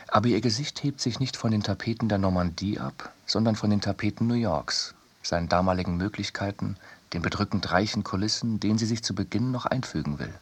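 A man reading a scripted literary essay for radio in a measured, deliberate way. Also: on the low side, breathy edge. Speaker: reader in a radio production.

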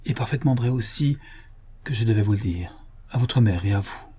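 A man's voice: fairly low pitch, animated, fairly quick, warm tone, slightly rough. A man voicing a curt, cornered young man. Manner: muffled, harsh and curt. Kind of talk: audiobook character reading.

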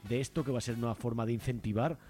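A man's voice: medium pitch, fairly even intonation, racing, dark tone, soft background music. A man with a Peninsular Spanish accent hosting a conversational radio interview, often adding little checking tags. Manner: uses frequent checking tags. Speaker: radio host.

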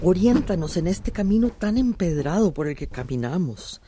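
A woman's voice: fairly low pitch, big pitch swings, normal speed, noisy background. A woman acting in a radio drama as a troubled, devout villager, solemn and pleading as in prayer. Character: troubled, devout villager praying to Mother Earth.

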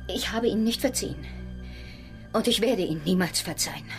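Radio drama role: proud, earnest seafaring woman played by an actress, medium pitch, deliberate delivery, clear music underneath. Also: animated, lightly acted. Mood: resolute, grave. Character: determined, proud woman sailor, recovering from injury. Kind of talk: German radio drama acting.

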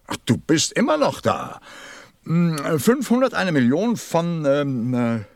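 An actor playing a busy, self-important middle-aged businessman in a radio play, with a gravelly, husky voice and a testy, muttering manner. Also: a little high, very animated, slow, a little mellow, no echo, clean studio sound, exaggerated voice. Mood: harried, muttering. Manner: pompous, testy, muttering, swooping. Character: busy, self-important 54-year-old businessman counting stars.

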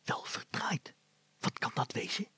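A man voicing a furtive, grumbling older father in a storybook, hissing in a hushed voice. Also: measured pace, no room sound, in a whisper. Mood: wary, hushed.

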